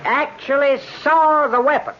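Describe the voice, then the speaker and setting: An actor playing a methodical, weary defense lawyer in a radio drama, questioning formally and deliberately. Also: male voice, very high-pitched, fairly even intonation. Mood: probing. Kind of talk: 1970s radio drama acting. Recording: dull old radio broadcast recording.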